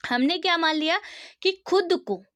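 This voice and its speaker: A woman teaching in with a high-pitched voice, the sound close and dry with no room echo. She explains through everyday examples and keeps asking rhetorical questions, checking in with her students. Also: unhurried, fairly bright tone. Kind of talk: conversational exam-prep lecture.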